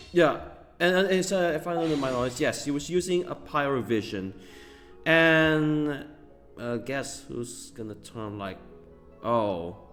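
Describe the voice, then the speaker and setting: A man's voice: medium pitch, lively intonation, slow pace, long pauses, a bit bright, soft background music. A man giving casual gameplay commentary as a streamer, rambling with many fillers and chuckles. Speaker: gaming streamer.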